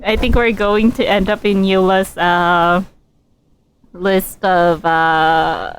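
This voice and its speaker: A woman streaming a game, casually commenting and reading dialogue choices in an amused, halting way. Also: medium pitch, steady tone, smooth.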